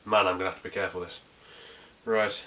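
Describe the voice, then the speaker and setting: Bright-voiced North Yorkshire man reviewing beer. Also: low-pitched, little pitch movement, fast. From North Yorkshire, England. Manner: chatty and rambling, with frequent fillers and restarts. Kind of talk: casual video beer review.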